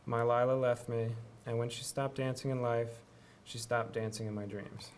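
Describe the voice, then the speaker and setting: A young man reading a poem at a podium in a steady, even manner. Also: medium pitch, moderate pace, slight background noise. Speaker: student reading his work. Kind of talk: poetry reading. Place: small lecture room. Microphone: podium gooseneck mic.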